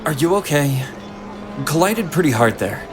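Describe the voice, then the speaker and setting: A man playing a strong, kindly, easygoing elite guardian in a fantasy audio drama, gently insistent and reassuring. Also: a little high, animated, moderate pace, over loud music, lightly acted. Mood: friendly concern. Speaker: voice actor. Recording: noisy outdoor city ambience behind.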